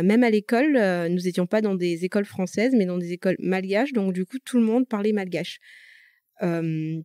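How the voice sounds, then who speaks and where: A woman's voice: medium pitch, a little mellow, no room sound, studio quality. A woman from Madagascar whose first language is Malagasy, hosting a conversational podcast interview with frequent fillers. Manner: friendly, many fillers, quick laughs. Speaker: podcast host.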